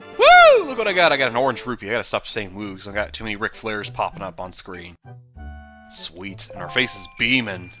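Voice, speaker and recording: A man giving casual, jokey, rambling commentary on a video game he is playing, with sudden loud whoops. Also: on the low side. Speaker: let's play commentator. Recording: game music behind voice.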